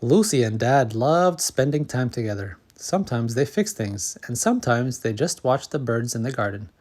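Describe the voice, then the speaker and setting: A man narrating a children's storybook as host, in a measured, expressive read-aloud manner. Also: mid-range voice. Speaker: storybook reader and channel host.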